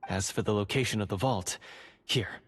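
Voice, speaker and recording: An actor playing a secretive male dungeon guard who is a covert ally, speaking in a hushed, urgent, earnest way. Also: lowish voice, normal speed, slightly rough. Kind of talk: game voice acting.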